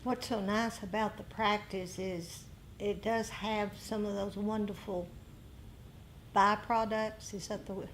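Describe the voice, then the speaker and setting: A woman leads a meditation discussion at a slow, deliberate pace, repeating key phrases for emphasis. Her pitch is mid-range for a woman, and there is faint noise behind her. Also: level tone, rough recording. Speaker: meditation teacher leading group discussion. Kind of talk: unscripted dharma talk with group discussion. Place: group meditation meeting room. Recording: clear background room noise.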